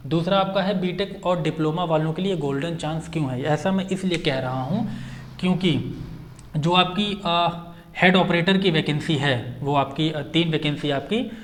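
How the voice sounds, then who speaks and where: A man's voice: on the high side, moderate pace, slight background noise. A man speaking as a coaching teacher, giving an informal explanatory update and repeating key phrases for emphasis, with many English words mixed in.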